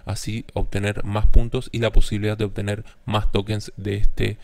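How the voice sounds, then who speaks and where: Low-voiced man giving a tutorial. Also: little pitch movement, moderate pace, creaky, darkish tone. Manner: often ends statements with a questioning "¿sí?" tag. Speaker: video tutorial host. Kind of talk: step-by-step screen tutorial narration.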